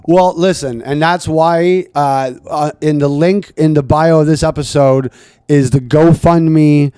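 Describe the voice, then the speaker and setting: Chatty higher-pitched male comedy host. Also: fairly even intonation, measured pace, warm tone, smooth, dry recording. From Canada. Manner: riffing, profane, rambling with restarts. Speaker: podcast host. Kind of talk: casual comedic chat.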